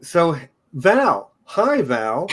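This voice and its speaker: A man's voice: fairly high pitch, drawn-out words. Male host, informal chat, easygoing and jokey. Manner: relaxed, joking, casual rambling. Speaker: podcast host.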